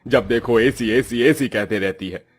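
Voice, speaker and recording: A man's voice: mid-range voice, animated, moderate pace, husky, dry recording, faint music behind. A man voicing a poor, weary middle-aged farmer father in a cartoon, grumbling and easily irritated. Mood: scolding. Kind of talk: Hindi moral-story cartoon voice acting.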